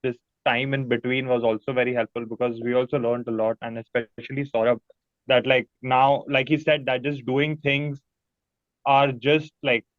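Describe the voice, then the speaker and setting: A man chatting casually as an interviewee, with halting, repetitive phrasing. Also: medium pitch, flat delivery, no echo. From India. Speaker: musician being interviewed. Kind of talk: casual chat. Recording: dry, dull lo-fi audio.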